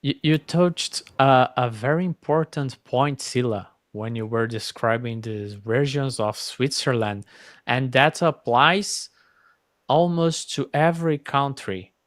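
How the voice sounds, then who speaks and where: A man hosts a relaxed conversation, chatty and enthusiastic as he asks questions. His voice is smooth and clear, recorded dry and close. He speaks at an unhurried pace. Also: medium pitch, no room sound. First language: Portuguese. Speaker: host. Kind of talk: casual interview chat.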